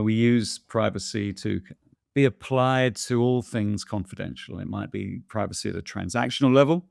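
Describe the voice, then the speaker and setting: Gravelly low man, thoughtful and hesitant. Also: no echo, clean studio sound. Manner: thoughtful, hesitant, many fillers and restarts. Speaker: guest answering viewer questions. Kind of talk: casual conversational interview.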